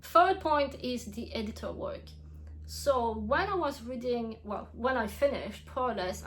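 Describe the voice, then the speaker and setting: A young woman whose first language is French talks through a book review in English with a clear French accent. Her voice sits a little high for a woman, and she is frank and chatty, laughing often and restarting her sentences. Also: a little hiss or hum, rough recording. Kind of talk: casual book review vlog.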